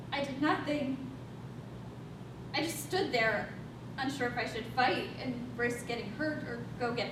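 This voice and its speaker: A distant, echoey stage recording with clear rumbling noise. A woman plays a young, defensive, guilt-ridden woman with a high-pitched voice, telling her story in an emphatic, confessional manner. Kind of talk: staged reading, dramatic monologue.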